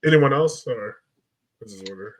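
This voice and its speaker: A man co-hosting a sports podcast in informal, halting chat peppered with filler words. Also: medium pitch, lively intonation.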